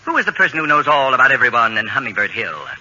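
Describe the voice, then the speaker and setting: A man's voice: medium pitch, sing-song, fast, sharp tone, husky, exaggerated voice. An actor playing a pompous, self-important male babysitter and author in an old radio comedy, with precise, formal diction. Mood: lofty accusing. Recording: dull, noisy old radio broadcast.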